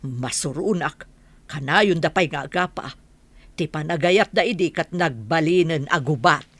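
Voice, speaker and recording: An actress plays a gossipy older mother in an Ilocano radio drama. Her voice is lowish and closely recorded, with plain-spoken village frankness. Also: unhurried, a bit bright, dry recording, exaggerated voice. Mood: motherly, disapproving.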